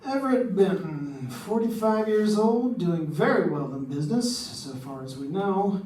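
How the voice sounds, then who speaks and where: Worried insurance exec for radio noir, male actor, high voice, hesitant, roomy and off-mic. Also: animated, a little slow, a little mellow. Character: worried male insurance man with nagging suspicions. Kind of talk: old-time radio drama acting. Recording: roomy, distant mic, faint background noise.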